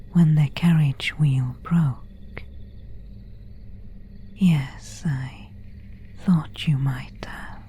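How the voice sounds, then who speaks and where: A woman voicing an elegant vampire countess in a whispered ASMR roleplay, courteous and quietly predatory, with formal, old-fashioned diction. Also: sits low, a little hiss or hum. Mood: quietly hungry. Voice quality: breathy whisper.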